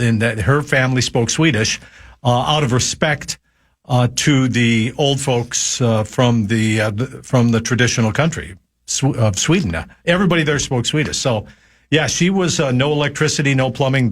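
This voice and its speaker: Older man, halting folksy storyteller. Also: mid-range voice. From Minnesota. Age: 70s. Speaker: regular guest. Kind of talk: casual radio chat.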